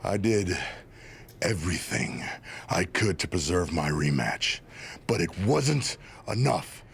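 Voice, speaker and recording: An actor playing a bitter, threatening male wrestling villain in a video game, with a gravelly voice. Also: sits low, animated, slight background noise, heavily acted. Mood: menacing, bitter. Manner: slow, threatening. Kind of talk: video game cutscene acting.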